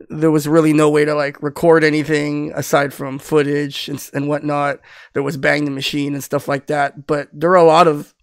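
A man's voice: on the high side, clear, resonant tone, no echo, clean studio sound. A middle-aged man, born in the Philippines and raised in Southern California, hosting a casual podcast interview over a video call, talking in a rambling way with many restarts and fillers. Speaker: podcast host. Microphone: headphones with studio mic on webcam.

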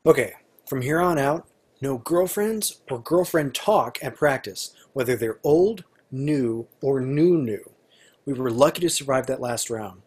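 A man playing a bossy, exasperated young bandleader with a husky voice in an amateur script reading. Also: medium pitch, expressive, unhurried, darkish tone. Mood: stern. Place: remote video call.

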